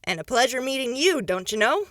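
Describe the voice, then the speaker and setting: A woman's voice: sits high, very animated, brisk, a bit bright, no room sound, clean studio sound. A woman voicing a folksy, chatty townswoman. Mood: friendly.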